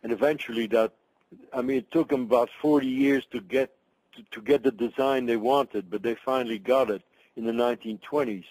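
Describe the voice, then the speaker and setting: An older man from Belgium, a migration researcher, answering interview questions in a thoughtful, halting way with many restarts. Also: medium pitch, steady tone, a little mellow, husky, dry recording, poor audio.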